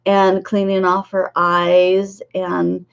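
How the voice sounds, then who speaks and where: A woman in her fifties, hoarse and losing her voice, talking haltingly about her dream visions as a psychic in a casual monologue to camera. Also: lowish voice, completely flat delivery, highs cut off. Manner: halting, with many filler pauses.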